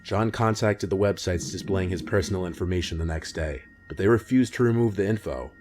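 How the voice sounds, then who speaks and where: A man reading a scripted scary-story narration in a measured, matter-of-fact way. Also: deep voice, darkish tone, slight background noise. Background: music bed.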